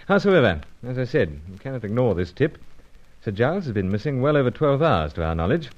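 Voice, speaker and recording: An actor playing a dashing, gallant British secret agent in an old-time radio serial, with jaunty upper-class slang and clipped phrases. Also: male voice, medium pitch, big pitch swings, fairly quick, lightly acted. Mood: breezy. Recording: dull, lo-fi radio serial recording.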